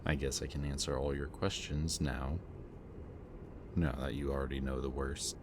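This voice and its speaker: A man speaking a gentle, hesitant roleplay monologue to the listener as a soft-spoken villain. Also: a bass voice, flat delivery, moderate pace, noisy background.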